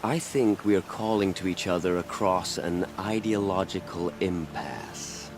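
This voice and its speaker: A man's voice: low voice, unhurried, noisy background. A man playing a haughty, eloquent exiled healer in a video game, dry and sardonic in his wordy delivery. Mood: sardonic, smug. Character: cynical, self-pitying exiled male healer, haughty and eloquent.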